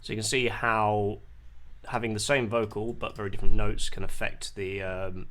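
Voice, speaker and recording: A man presenting an informal music software demonstration, explaining in a hesitant, halting way. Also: fairly low pitch, level tone. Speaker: music producer, tutorial presenter.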